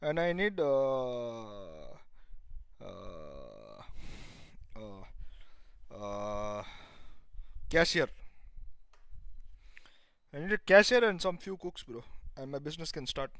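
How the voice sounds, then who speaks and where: A man's voice: medium pitch, wildly swooping pitch, takes it slowly, vocal fry, long pauses, fairly bright tone, clear, resonant tone, dry recording. Joking man, dry close sound. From India. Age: 30s. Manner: joking banter, mixing Hindi words into English; blunt and crude. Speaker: streamer. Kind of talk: casual live-stream chat.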